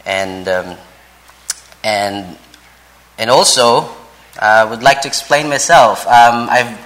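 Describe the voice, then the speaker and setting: Male church speaker, thirties, Filipino accent, non-native English. Unhurried, halting delivery with a sharp, bright tone. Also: mid-range voice. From Philippines. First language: a Philippine language. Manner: halting, frequent pauses and repeated words, polite. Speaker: visiting preacher, Bible college vice president. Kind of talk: church sermon introduction and scripture reading.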